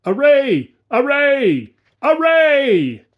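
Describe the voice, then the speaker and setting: A man voicing ghostly medieval archers who shout war cries to their patron saint. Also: extremely high pitch, very animated, slow, dull audio, pitched above the performer's own voice, heavily acted. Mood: fervent.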